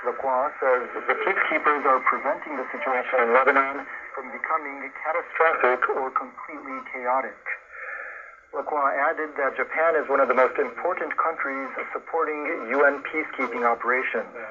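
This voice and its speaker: Man, news anchor, scripted bulletin reading, muffled shortwave broadcast, clear background noise. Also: medium pitch, steady tone, normal speed. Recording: dull, very noisy shortwave radio broadcast. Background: loud radio static.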